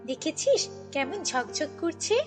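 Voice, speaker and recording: Snobbish cartoon rich kid played by a woman, very high, taunting and haughty, over background music. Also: sing-song, slow pace, full character voice. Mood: boastful, scornful. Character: boastful, snobbish rich little girl who mocks the poor. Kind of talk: children's fairy-tale cartoon voicing. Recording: long reverb, music bed.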